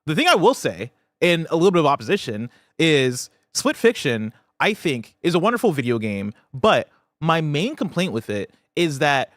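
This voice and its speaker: A man's voice: a little high, very animated, moderate pace, a bit bright, no room sound, clean studio sound. A man hosting a games news show, talking in a casual, analytical, conversational way. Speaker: podcast host.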